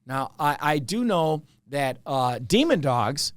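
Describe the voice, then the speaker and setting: A man's voice: a little high, big pitch swings, takes it slowly, clear, resonant tone, no echo, clean studio sound. A middle-aged man with a Chicago accent, hosting a casual comedy podcast in a rambling, jokey way. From Evanston, Illinois, US. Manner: rambling, jokey, full of fillers. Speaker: podcast host.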